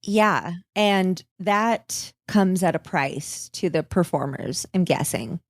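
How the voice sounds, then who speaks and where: A woman's voice: fairly low pitch, expressive, slow pace, dry recording, clean studio sound. A woman hosting a podcast, telling stories in casual chat with a wry, candid manner and some swearing. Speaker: podcast host. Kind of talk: casual storytelling chat with a co-host.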